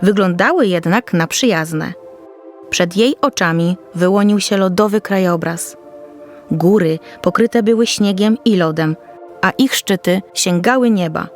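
A woman's voice: mid-range voice, measured pace. Woman narrating a children's story over music. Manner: storytelling, descriptive, carefully read. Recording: soft music under the voice.

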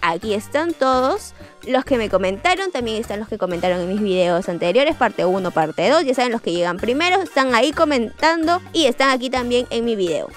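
A woman's voice: on the high side, expressive, fairly quick. A woman hosting a gossip show, narrating in a chatty, teasing way. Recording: voice-over with light background music.

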